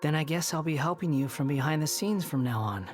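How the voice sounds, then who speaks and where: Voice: medium pitch, expressive, brisk, soft background music, close to an everyday voice. An actor voicing a proud, brooding young wanderer in a video game, dry, curt and aloof in delivery. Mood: wry. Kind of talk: video game cutscene acting.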